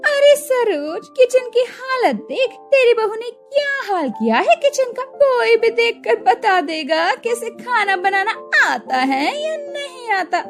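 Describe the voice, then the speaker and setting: A woman's voice: very high-pitched, big pitch swings, soft background music, voice pushed up, heavily acted. A woman voicing a nosy, critical older village woman in a story, exclaiming and drawing out words. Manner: exclaiming, drawn-out.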